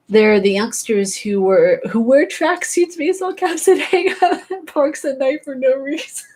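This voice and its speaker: A woman's voice: sits high, animated, unhurried, a little mellow. Laughing, exclaiming young woman host. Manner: laughs often, exclaims in amazement; repeats herself for emphasis. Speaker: channel host. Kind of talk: casual chat.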